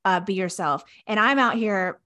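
A woman's voice: medium pitch, normal speed, pushed and bright. A woman hosting a podcast, upbeat and agreeable in casual interview talk. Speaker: podcast host.

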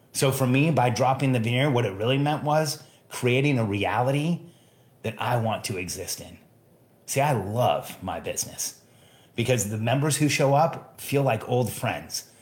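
A Mexican-born man whose was talks straight to his listeners as a business coach. He is earnest and direct and stacks repeated phrases for emphasis. His voice sits at a middle pitch for a man and rings in a roomy, echoing space. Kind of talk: motivational monologue.

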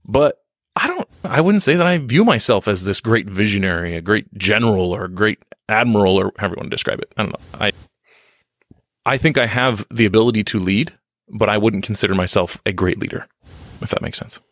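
A man's voice: lowish voice, expressive, brisk, a bit bright, dry recording, clean studio sound. A man talking candidly in a podcast interview, reflective and self-deprecating, often restarting his sentences. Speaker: podcast guest on leadership and success.

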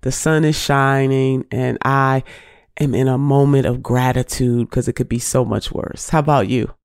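A woman's voice: rumbling low, fairly even intonation, normal speed, smooth, no echo. Woman podcast host, nasal from a cold, emphatic and preacherly, dry sound. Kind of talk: casual podcast interview.

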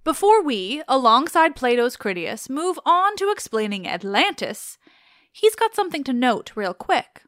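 A woman with a fairly high voice hosts a mythology podcast in a casual, chatty way. She drops wry, sarcastic asides, recorded dry and close. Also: sing-song, a little slow, a bit bright, no echo. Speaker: podcast host.

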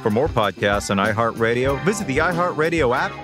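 Man, podcast announcer, scripted credits, lively intonation, clear guitar music behind. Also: mid-range voice, a little fast. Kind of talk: scripted outro read.

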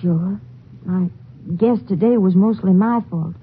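An actress playing an anxious young wife, stammering in a 1950s radio drama. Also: female voice, mid-range voice, breathy edge. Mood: worried. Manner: halting, stammering speech. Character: anxious young wife, worried about money. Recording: muffled old radio broadcast with hiss.

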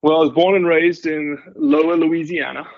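A man's voice: fairly high pitch, fairly even intonation, moderate pace, dull audio, noisy background. A man in his forties from South Africa with a South African accent, a podcast guest talking casually and humbly, with many restarts. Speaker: guest, wildlife scientist.

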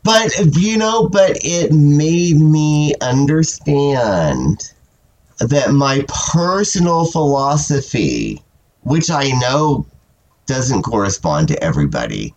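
A man hosting a podcast and chatting casually in a sassy, animated way, swearing often and sounding stuffy from a cold. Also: mid-range voice, slow, muffled recording. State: stuffy with a cold, sore throat. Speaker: podcast host. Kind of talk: casual chat.

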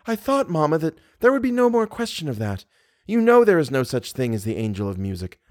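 A man's voice: on the high side, wildly swooping pitch, brisk, pitched above the performer's own voice. A man voicing a proud, guarded young woman who speaks firmly and formally. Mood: earnest, evasive. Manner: firm, formal.

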